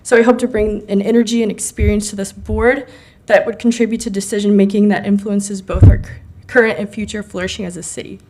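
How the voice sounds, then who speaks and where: A woman at a public podium gives a prepared, earnest statement to a city commission. Her voice is a touch raspy from a sudden throat tickle, mid-pitched and formal, with occasional ums between phrases. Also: little pitch movement, brisk, a little mellow, slight background noise. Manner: earnest, formal, slightly nervous fillers. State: slightly raspy from a throat irritation. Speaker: board applicant giving public remarks. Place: council chamber.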